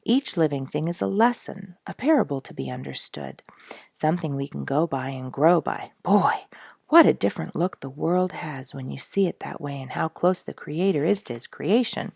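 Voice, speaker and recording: A woman's voice: sits low, expressive, normal speed, vocal fry, a little mellow, breathy edge, dry recording, clean studio sound, exaggerated voice. A woman reading aloud as a humble, reflective male soldier, earnest and full of wonder, in a storybook letter. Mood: reverent reflection.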